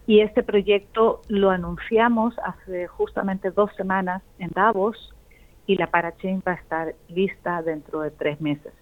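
Woman guest, raised in the US, lively explainer, phone-like line, mid pitch. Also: measured pace. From United States. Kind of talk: radio interview. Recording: phone-like narrow sound.

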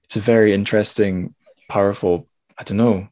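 Deep-voiced man hosting a casual podcast. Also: fairly even intonation, fairly quick, a little mellow, no echo. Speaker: podcast host. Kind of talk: casual book discussion.

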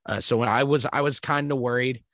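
A man's voice: medium pitch, steady tone, fairly quick, fairly bright tone, no echo, clean studio sound. A man co-hosting a podcast, chatting casually and thinking aloud while searching for words. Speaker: podcast co-host. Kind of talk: casual chat.

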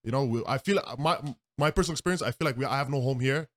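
Male interview host, mid-pitched, very quick delivery, informal and conversational. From Canada. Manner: informal, repeats phrases and checks in often.

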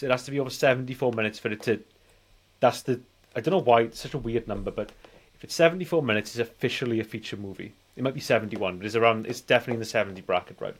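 A man in his forties with a British accent and a medium-pitched voice chats as a film podcast co-host. He talks emphatically, circling back and repeating phrases to drive his points home. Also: slightly rough. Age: 40s. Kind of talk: casual film discussion.

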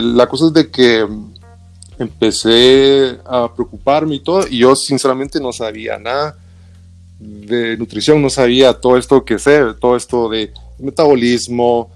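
A man with a Guatemalan accent, an interview guest telling personal anecdotes in an informal, colloquial way. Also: mid-range voice. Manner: informal, colloquial storytelling with tag words. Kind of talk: casual chat, personal anecdotes.

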